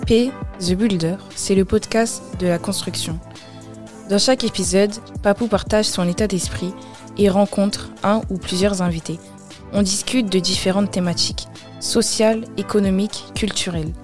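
A woman reading a scripted podcast introduction in a smooth, even delivery. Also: mid-range voice, normal speed. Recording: music bed under voice.

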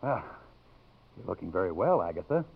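Low-voiced, courteous college president. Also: male voice, big pitch swings, fairly quick, gravelly, husky, exaggerated voice. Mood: warm, awkward. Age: middle-aged. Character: gentle, courteous middle-aged college president, widowed old flame. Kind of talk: 1950s radio drama acting. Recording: muffled vintage 1950s radio recording.

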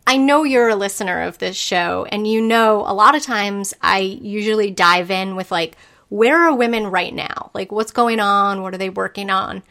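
A woman's voice: mid-range voice, moderate pace, sharp tone. Eager woman podcast host. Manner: enthusiastic, eager. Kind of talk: casual interview chat.

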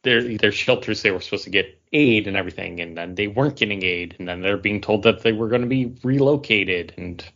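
A man's voice: on the low side, brisk, hardly any pauses, a bit bright, lo-fi sound. A man co-hosting a podcast, talking in a casual, conversational style. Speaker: podcast co-host. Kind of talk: casual chat.

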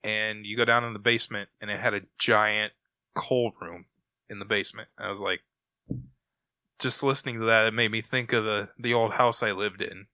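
A man's voice: lowish voice, steady tone, normal speed, sharp tone, no echo. A middle-aged man co-hosting a podcast in casual, conversational chat. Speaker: podcast co-host.

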